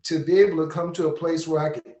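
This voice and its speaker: In a very echoey room, a man speaks in a somewhat high voice. He gives earnest, reflective testimony, repeating words as he builds his thought. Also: steady tone, mellow. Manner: earnest, deliberate repetitions. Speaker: monologuist on a panel.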